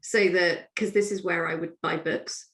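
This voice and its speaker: Echoey, lower-pitched woman. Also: fairly even intonation, normal speed. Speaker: guest author. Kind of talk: casual chat. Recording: echoey room.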